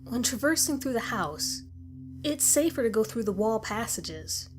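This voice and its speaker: A woman's voice: on the high side, sing-song, measured pace. A woman voicing a grim survivor who gives stern, ominous warnings in a scripted horror story narration. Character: grim woman survivor narrating deadly rules of a monster house. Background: music.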